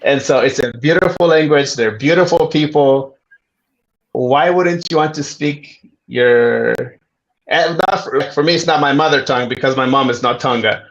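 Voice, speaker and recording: Relaxed, friendly Zambian man. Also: mid-range voice, normal speed, highs cut off. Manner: relaxed, friendly storytelling with asides. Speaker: guest. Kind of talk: casual interview chat.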